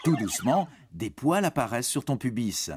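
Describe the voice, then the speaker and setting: A man's voice: mid-range voice, very animated, normal speed, very noisy. A man narrates an educational cartoon script playfully, speaking directly to a young listener. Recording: prominent music behind narration. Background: music.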